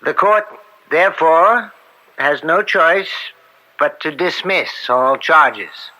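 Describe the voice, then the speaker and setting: An actor playing an upstanding, troubled judge and father in an American radio drama, speaking formally and deliberately with an American accent. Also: a little high, expressive, pushed and bright, exaggerated voice, poor audio. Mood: solemn, formal. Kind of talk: old-time American radio drama acting.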